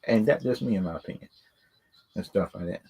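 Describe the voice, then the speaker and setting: A man's voice: lowish voice, fairly quick, vocal fry, a little mellow, breathy edge, dull audio. A man giving casual, unscripted opinions as a video host, rambling and often repeating words and stock phrases. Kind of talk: casual unscripted opinion talk on films and games.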